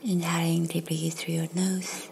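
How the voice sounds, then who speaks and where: A woman reading a scripted guided meditation in a soft, breathy, near-whispered voice, gentle and measured with long pauses. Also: fairly low pitch, flat delivery, slight background noise. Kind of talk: slow, soothing guided meditation, scripted narration. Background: faint music.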